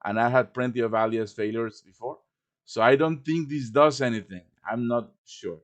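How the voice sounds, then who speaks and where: Man giving an informal software walkthrough. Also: mid-range voice, moderate pace. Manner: explains step by step with casual asides. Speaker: tutorial presenter. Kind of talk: informal live software tutorial. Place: live-streamed voice chat with screen share.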